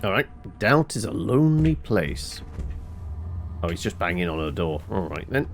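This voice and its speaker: A man's voice: deep voice, very animated, moderate pace, gravelly, faint music behind. A man giving casual playthrough commentary on a video game, playfully thinking aloud. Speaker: gaming video host. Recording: faint background noise, game ambience.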